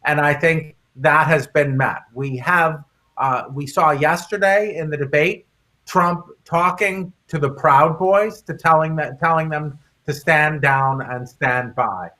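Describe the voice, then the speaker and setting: A man with a fairly high, bright and forceful voice talks as a guest in a public discussion. He explains his argument in a halting way, doubling back on words as he goes. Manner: halting, hesitant delivery with repeated words. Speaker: guest author and speaker.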